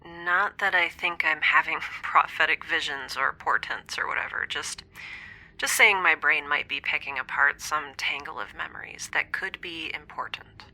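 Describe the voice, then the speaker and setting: An actress playing a lonely, wry young space scientist in an audio drama, confiding hesitantly and often dropping to a near-whisper over a log recording. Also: female voice, low-pitched, moderate pace, sharp tone, exaggerated voice, rough recording. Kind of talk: scripted audio drama monologue, intimate dream-log recording.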